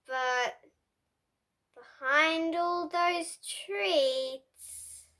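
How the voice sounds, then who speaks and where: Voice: very high-pitched, drawn-out words, bright, forceful tone. A child hosting a read-aloud, reading picture books expressively with playful, dramatic asides and questions to young listeners. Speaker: host of a children's read-aloud book channel.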